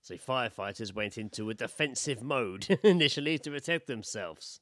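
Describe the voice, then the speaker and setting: A British man with a medium-pitched voice talks as a podcast co-host. He is casual and laddish, blunt and quick to swear, moving between banter and reading stories aloud. The sound is very dry. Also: animated, a bit bright, no room sound.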